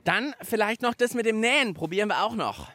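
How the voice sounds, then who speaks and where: A middle-aged man hosts a children's radio show outdoors in a park. His voice sits high and swings widely, and he talks chattily, thinking aloud with fillers as he goes. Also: lively intonation, fairly quick, pushed and bright, dry recording. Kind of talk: casual on-location radio chat.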